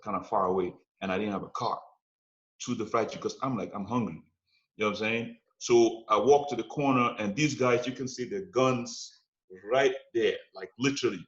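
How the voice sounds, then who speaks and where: Low-voiced man telling street stories. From United States. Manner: animated, street-talk storytelling with frequent "you know what I'm saying". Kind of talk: casual storytelling chat. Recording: roomy, dull lo-fi podcast sound.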